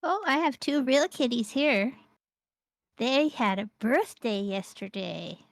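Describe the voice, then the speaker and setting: A woman's voice: on the high side, expressive, slow pace, no echo. A woman chatting casually while playing an online game with a friend, giving short, easygoing replies and prompts. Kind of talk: casual gaming chat.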